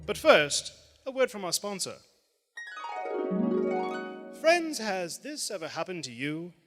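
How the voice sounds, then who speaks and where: A showy old-time radio pitchman, acted by a man with grand theatrical flourish. His delivery swings widely in pitch and rings through a big reverberant theater, in the manner of 1940s live radio. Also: wildly swooping pitch, slow, loud music behind the voice, heavily acted. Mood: grand, upbeat. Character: booming, upbeat old-time radio announcer and pitchman, easily startled. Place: large theater stage, live performance. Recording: live theater stage, long hall reverb.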